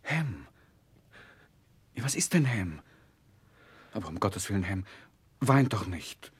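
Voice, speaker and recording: An actor playing a grown man haunted by his past, narrating gravely and haltingly in a 1960s German radio drama. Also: fairly low pitch, big pitch swings, no room sound, full character voice. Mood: alarmed.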